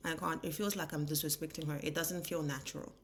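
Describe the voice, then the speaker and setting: A woman's voice: low voice, fast, slight background noise. A young woman from Nigeria with a Nigerian English accent, whose first language is Igbo, talks casually to the camera in her kitchen, telling stories and imitating how words are pronounced. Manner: lively storytelling, mimics pronunciations, rhetorical questions. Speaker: vlogger. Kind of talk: casual chatty rant to camera. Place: home kitchen while cooking.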